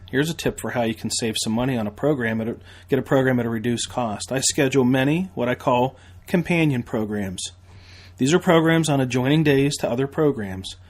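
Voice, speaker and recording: Emphatic American man pitching on a podcast. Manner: emphatic, folksy sales delivery with repetition and rhetorical questions. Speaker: podcast host and firefighter training instructor. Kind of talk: promotional podcast monologue.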